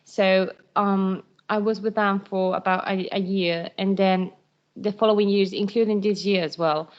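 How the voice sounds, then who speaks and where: A woman's voice: on the low side, darkish tone, clear, resonant tone. A woman in her twenties from Spain, speaking informally as a student ambassador on an online call. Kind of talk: informal presentation on a virtual call. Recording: dull, lo-fi online call audio.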